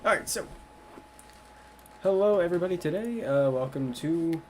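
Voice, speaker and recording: A man's voice: on the high side, animated, moderate pace, darkish tone, a little hiss or hum. A man who is a little sick, hosting a casual livestream Q&A about his game project and talking in a loose, rambling way. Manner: loose and rambling, with many fillers.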